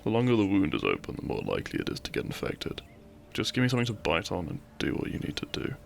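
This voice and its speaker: Man acting a cheeky low-voiced bandit outlaw. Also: huge pitch swings, fast talker, gravelly, full character voice. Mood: pained, reassuring. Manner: teasing, gallant, dramatic. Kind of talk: audio roleplay acting, addressing the listener.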